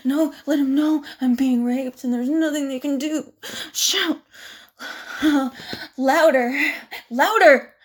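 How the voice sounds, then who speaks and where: A woman's voice: high voice, fairly even intonation, takes it slowly, darkish tone. A woman playing a volatile, domineering, vengeful villainess in a dramatic audio roleplay. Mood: commanding.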